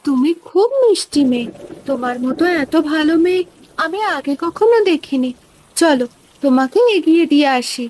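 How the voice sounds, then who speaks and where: A woman voicing a kindly, gentle magic fairy in a cartoon. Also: high-pitched, animated, normal speed, poor audio. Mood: grateful. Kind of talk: cartoon voice acting.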